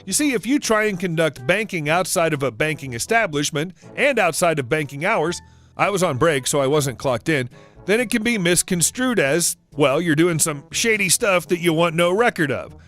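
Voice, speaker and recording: A man giving a scripted narration of online stories as the channel's narrator, reading in an even, steady way. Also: a little high, moderate pace, faint music behind. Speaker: story narrator.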